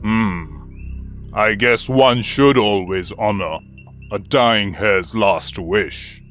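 Slow, menacing cartoon lion king. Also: on the low side, a bit bright, faint music behind. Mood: threatening. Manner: mocking, drawling mock politeness. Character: menacing, sly, haughty lion king of the jungle. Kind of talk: cartoon voice acting.

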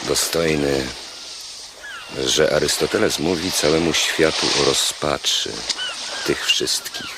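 An actor playing a learned, deferential slave in a radio drama, reciting in a measured, solemn, deliberate manner. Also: male voice, rumbling low, expressive, noisy background, full character voice. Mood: reverent, grave. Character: learned, deferential male slave reading philosophy aloud.

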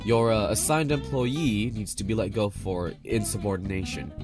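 Man as low-voiced, glum cartoon newsman. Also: moderate pace, music in the background, close to an everyday voice. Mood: glum, reluctant. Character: glum, weary newsman who delivers bad news. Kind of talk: animated short film dialogue.

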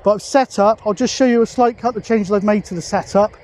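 A British man in his forties talks casually as an outdoor vlogger. His voice sits fairly high, and it is picked up by a camera in the open with some noise around it. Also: fast, darkish tone. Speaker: vlogger, CB radio hobbyist. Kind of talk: casual outdoor vlog talk. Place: open foggy grassy hilltop, outdoors. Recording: noisy outdoor hilltop camera audio.

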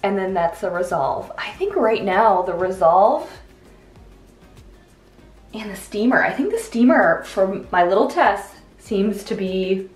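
A young adult woman talks through a home cleaning test in a chatty, upbeat way, with a few "ums" along the way. Her voice carries a roomy echo, and soft music plays underneath. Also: mid-range voice, lively intonation, measured pace. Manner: chatty and upbeat, with small fillers. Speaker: cleaning vlogger. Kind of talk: casual voice-over commentary. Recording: echoey room with faint background music.

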